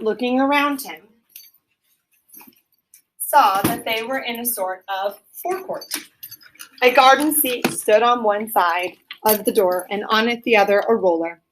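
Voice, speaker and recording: Woman reading a storybook aloud, medium pitch. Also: a bit bright, rough recording. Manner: explanatory, prompting listeners with questions. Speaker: teacher reading aloud to children. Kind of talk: storybook read-aloud with simple explanations.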